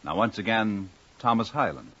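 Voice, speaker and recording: A man's voice: on the low side, animated, moderate pace, a bit bright, husky. A man announcing a mid-century radio series in a formal, declamatory scripted style. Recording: muffled old radio broadcast recording.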